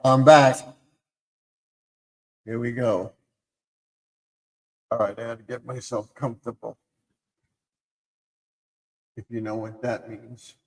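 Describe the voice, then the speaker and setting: Slow, scratchy-voiced man streaming live. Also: mid-range voice, steady tone, long reverb tail. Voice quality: rambling, self-interrupting delivery. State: scratchy, recovering throat. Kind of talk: casual live stream chat. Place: home room.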